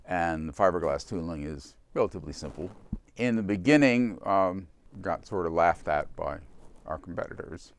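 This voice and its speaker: An older man with a very deep voice speaks as a company founder being interviewed. His delivery is reflective and unhurried, broken by pauses as he thinks. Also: lively intonation, slight background noise.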